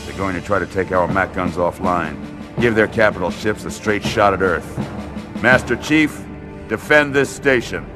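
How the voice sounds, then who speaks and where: An actor playing a dignified older fleet admiral in a video game, formal, measured and commanding. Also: male voice, deep voice, music in the background, lightly acted. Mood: urgent, commanding. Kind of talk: cinematic video game acting.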